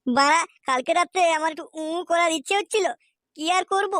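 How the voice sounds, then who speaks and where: A man playing a crude, hot-tempered young son-in-law in a comic cartoon, swearing and grumbling sarcastically in a pitched-up voice. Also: fairly quick, pushed and bright. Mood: angry, crude. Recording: noisy track with clicks and chirping birds.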